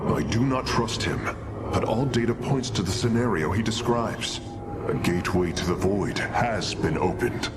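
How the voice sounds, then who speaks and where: An actor playing a sober, analytical alien officer in a video game, formal, measured and grave. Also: male voice, lively intonation, close to an everyday voice. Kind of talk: video game cutscene acting. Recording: loud rumbling ambience behind. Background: loud ambient noise.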